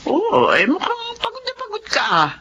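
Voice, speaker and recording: Disapproving old Filipino grandma; piercing, wildly expressive delivery, radio serial, slightly muffled. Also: female voice, extremely high pitch, moderate pace, a little hiss or hum, full character voice. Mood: scornful, concerned. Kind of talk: Filipino radio drama acting.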